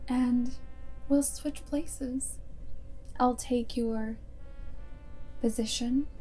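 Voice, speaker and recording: Very slow, soft-spoken vampire girlfriend, acted by a woman. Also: on the high side, rough recording. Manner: unhurried, deliberate phrasing with breathy whispered stretches. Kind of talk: ASMR roleplay, half-whispered.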